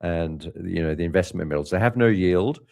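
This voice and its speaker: A man's voice: low-pitched, brisk, creaky. A man hosting a live trading update, talking loosely with many fillers and restarts about markets and his own past. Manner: relaxed, rambling with frequent fillers and restarts. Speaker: trading channel host.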